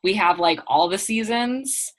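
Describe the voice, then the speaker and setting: A woman from eastern Washington State, telling rambling, animated stories in a casual group chat over a video call. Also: medium pitch, unhurried.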